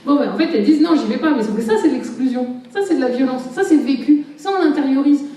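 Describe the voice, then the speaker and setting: A woman speaks on a conference panel with an emphatic, animated delivery, laughing as she argues. Her voice sits a little high and has a mellow tone. She is picked up from far off in a very echoey hall. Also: fast talker. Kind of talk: panel discussion talk.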